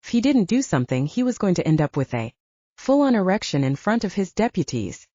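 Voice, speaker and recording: A woman's voice: mid-range voice, very animated, brisk, mellow, no echo. A woman narrating a paranormal romance audiobook as scripted storytelling, in a slightly dull, dry recording.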